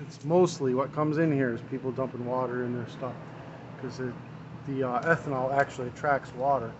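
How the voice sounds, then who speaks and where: A man's voice: medium pitch, fairly even intonation, a little fast, dull audio. Man explaining repairs in a noisy workshop. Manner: casual, talks while working. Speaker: small engine mechanic. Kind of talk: hands-on repair tutorial. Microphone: camera mic, speaker off-frame. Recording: noisy workshop, engine running. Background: engine and shop noise.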